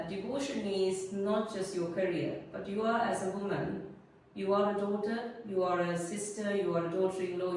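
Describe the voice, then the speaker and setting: A woman in her forties with a Sri Lankan accent, giving earnest, measured interview answers as a guest. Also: on the low side, a little mellow. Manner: earnest, measured, speaks in lists and principles. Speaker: guest, business consultant. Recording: roomy echo, a little distant from the mic, faint noise.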